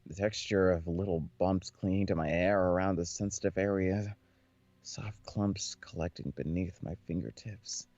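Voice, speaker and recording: A man with a fairly low voice reads game narration aloud in a casual playthrough style. The sound is close and dry, with a slightly dull, lo-fi microphone. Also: steady tone, normal speed, a little mellow, dry recording. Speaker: co-host playing and reading a visual novel aloud. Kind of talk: reading game text aloud with casual reactions.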